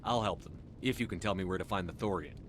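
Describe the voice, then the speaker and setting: A man acts out a military commander for a video game. The voice sits fairly low and stays composed and practical. A faint rumble runs behind the words. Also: fast, natural voice. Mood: calm, firm. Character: composed male military commander, steady and pragmatic.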